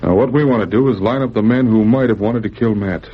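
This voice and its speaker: Old radio mountie sergeant, lowish voice, calm and in charge, muffled noisy vintage recording. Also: male voice, fast. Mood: probing, urgent. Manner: crisp, methodical, authoritative questioning. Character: calm, commanding male Mountie sergeant, shrewd lawman. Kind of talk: old-time radio drama acting.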